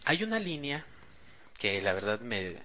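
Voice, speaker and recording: A man, chatting about games in an emphatic, animated way. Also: mid-range voice, a little slow, highs cut off. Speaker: podcast panelist. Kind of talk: casual gaming chat.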